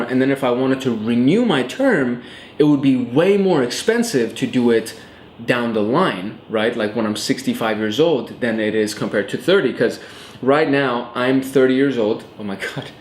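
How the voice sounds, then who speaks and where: A man in his late twenties hosts a show, talking to camera in a relaxed, chatty way with plenty of fillers. His voice sits at an ordinary middle pitch. The room rings with noticeable echo, and a faint ambient hum stays underneath. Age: 20s. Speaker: host. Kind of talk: casual educational vlog talk.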